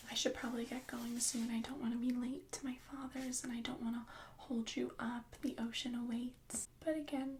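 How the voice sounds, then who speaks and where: A woman playing a gentle, bookish young fairy-tale heroine in a whispered ASMR roleplay, speaking in a soft unvoiced whisper with a chatty, reassuring manner. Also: a little high, a little hiss or hum, lightly acted.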